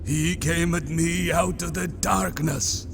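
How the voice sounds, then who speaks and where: Guy doing a dying god of the hunt, slow, weak, gaspy n croaky, comic audio drama, noisy mic. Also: fairly high pitch, fairly even intonation, music in the background. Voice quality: breathy, halting, gasping and coughing. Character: dying Siberian hunting god, a broken, weakened male.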